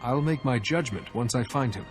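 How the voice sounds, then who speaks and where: An actor playing a calm, resolute warrior-monk hero with a measured, dryly understated manner in a video game cutscene. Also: male voice, mid-range voice, natural voice. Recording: music behind the voice.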